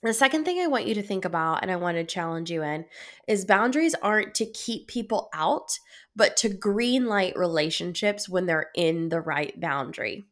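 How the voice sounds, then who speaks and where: A woman's voice: on the low side, lively intonation, normal speed, a bit bright. A young adult woman hosting her own solo podcast, speaking with a head cold, reflective and encouraging, with fillers and restarts. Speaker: podcast host.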